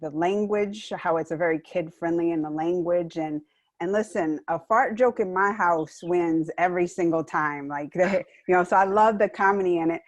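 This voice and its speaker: A woman's voice: on the low side, moderate pace, dry recording. A woman interviewer asking a long question with many "you know" fillers. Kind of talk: press junket interview.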